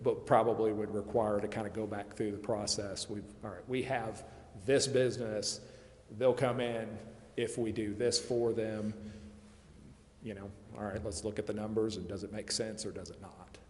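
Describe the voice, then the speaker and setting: Guy kinda low voice explaining stuff at a city meeting, lots of what-ifs, big echoey room. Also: little pitch movement, moderate pace, a little mellow. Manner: hedging, explains through hypotheticals. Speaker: consultant advising a city committee. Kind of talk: explanatory presentation at a public meeting. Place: city council chamber. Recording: echoey meeting chamber, faint background noise.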